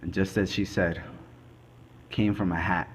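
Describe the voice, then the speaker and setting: A man playing a grave, methodical forensic investigator, delivering a scripted dramatic monologue in halting, deliberate phrases. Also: low voice, little pitch movement, a little hiss or hum. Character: male forensic investigator recounting a murder case, grave and methodical. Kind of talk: scripted dramatic monologue, amateur student production.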